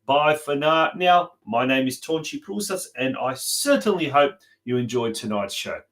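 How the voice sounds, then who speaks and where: An Australian man presenting a live community sports show as host, speaking haltingly with repeated and drawn-out words. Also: mid-range voice, lively intonation, fairly bright tone.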